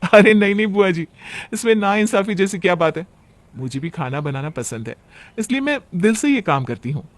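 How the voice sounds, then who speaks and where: Sweet, dutiful young daughter-in-law played by a man, cartoon tale; earnest, polite, on the high side. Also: animated, moderate pace. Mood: earnest protest. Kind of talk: Hindi cartoon family story acting.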